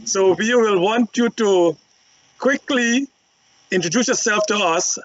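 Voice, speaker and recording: A man's voice: sits high, a little slow, dry recording. A man moderating a panel show, introducing guests in a rambling way with many filler ums. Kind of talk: talk show hosting. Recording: dry, dull lo-fi line.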